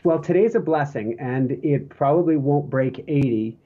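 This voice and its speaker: A male podcast host with a hoarse voice, chatting casually and rambling with fillers and restarts. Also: fairly high pitch, moderate pace, dark tone, lo-fi sound. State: hoarse, coughing from smoke.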